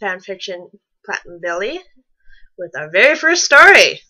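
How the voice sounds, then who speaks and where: A woman's voice: mid-range voice, sing-song, moderate pace, bright, forceful tone. A woman co-hosting a comedic fan fiction reading, reading aloud and breaking off for casual, mock-exasperated commentary.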